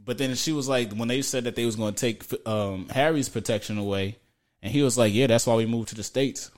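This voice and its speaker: A man's voice: mid-range voice, a little fast. A man, co-hosting a podcast in emphatic, slangy, profane casual chat. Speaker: podcast co-host. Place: Atlanta east side.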